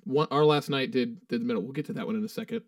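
A man's voice: mid-range voice, rapid-fire, dry recording, studio quality, far from the mic. A man co-hosting a podcast, chatting loosely and swearing as he reads through a ranked song list. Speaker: podcast co-host. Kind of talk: casual chat.

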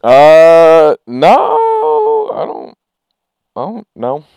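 A man's voice: a little high, huge pitch swings, creaky, warm tone, no echo. A man, a comedian and podcast co-host who teases his partner playfully, close to a microphone. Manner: teasing, playful, quick to mock. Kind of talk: casual comedy podcast banter.

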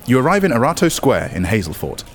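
A man's voice: medium pitch, huge pitch swings, fast talker. A man narrating as game master, mixing descriptive scene-setting with wry, joking asides.

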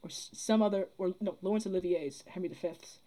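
A woman's voice: lowish voice, steady tone, a little fast, dark tone. A young woman in a casual discussion, earnest and rambling, repeating phrases as she builds her argument. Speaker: podcast guest, theatre producer.

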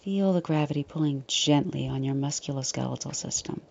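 A woman leading a guided meditation in a soft, breathy, often near-whispered voice, soothing, unhurried and gently reassuring. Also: sits low, lo-fi sound.

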